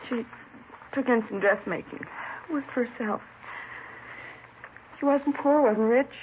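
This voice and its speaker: An actress playing a sorrowful housewife questioned by police in a 1950s radio drama, answering plainly and quietly. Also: female voice, on the high side, a little slow, close to an everyday voice. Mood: subdued, wistful. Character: sorrowful housewife questioned about her murdered friend. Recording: tinny, faintly noisy vintage radio.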